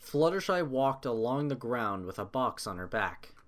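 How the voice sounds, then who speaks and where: A man's voice: mid-range voice, big pitch swings, normal speed. A man narrating a scripted story as its narrator.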